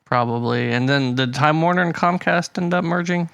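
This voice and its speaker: Man, podcast co-host, relaxed chatty delivery, dry close mic. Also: on the high side, normal speed, creaky, smooth, dry recording. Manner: chatty, hedging, laughs often. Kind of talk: casual chat.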